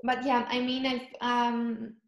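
Young woman, flat even delivery, slightly high voice, echoey room, video call. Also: takes it slowly, vocal fry, lo-fi sound. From Cyprus. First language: Greek. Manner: thoughtful, searching for words, frequent ums. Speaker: interviewee. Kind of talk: casual chat.

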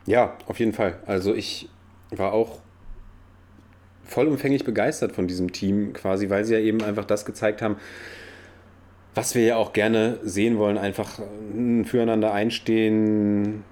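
A man's voice: fairly low pitch, fairly even intonation, normal speed, a little mellow. A man with a slight cold co-hosting a podcast, chatting loosely about football with frequent restarts. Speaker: podcast co-host. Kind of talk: casual football chat. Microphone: own podcast microphone.

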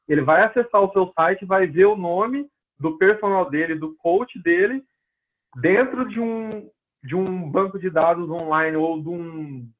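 A Brazilian-accented man talks on a tinny, phone-like line, his fairly high voice a little husky. He tells stories from his work as a personal trainer in casual conversation and briefly plays out each person he quotes. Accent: Brazilian Portuguese. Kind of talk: casual storytelling interview.

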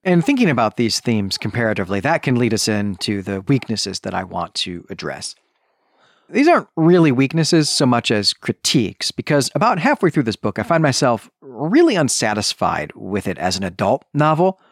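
An American man in middle age talks as a podcast host giving a book review. His pitch moves up and down with enthusiasm around an average register. The sound is dry, with no room on it, and his delivery is discursive and conversational. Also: no echo. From suburb of Chicago, US Midwest. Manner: enthusiastic, discursive, often checks in with "right?".

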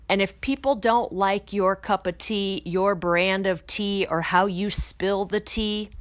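A woman's voice: mid-range voice, steady tone, slow, no room sound. A woman hosting a podcast, talking in a casual, blunt, motivational way that swears freely and rises into emphatic bursts. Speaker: podcast host.

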